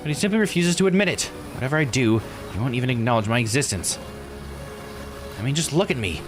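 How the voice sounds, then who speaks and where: A man's voice: mid-range voice, animated, quick pace, over music, lightly acted. A man voicing a resentful, haughty young woman, a scorned noble-born island diver, reading visual novel dialogue bluntly. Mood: bitter, indignant. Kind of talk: visual novel character read-aloud.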